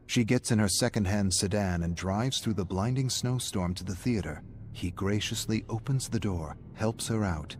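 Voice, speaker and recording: A man with a low-pitched voice reads scripted fiction in a dramatic storytelling style. There is some background noise and ambience behind his narration. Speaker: narrator reading game lore.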